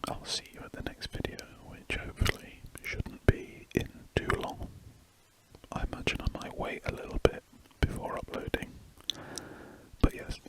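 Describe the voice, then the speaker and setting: A man's voice: moderate pace, rough recording. A man whispering throughout as he chats casually while drawing, an ASMR host who rambles and corrects himself mid-sentence. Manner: soft unvoiced whisper, rambling and self-correcting.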